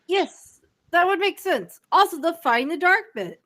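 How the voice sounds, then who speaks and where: Woman as teenage boy wizard, high voice, swooping intonation, urgent, exasperated, amateur audio drama. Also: animated, moderate pace, fairly bright tone. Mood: puzzled.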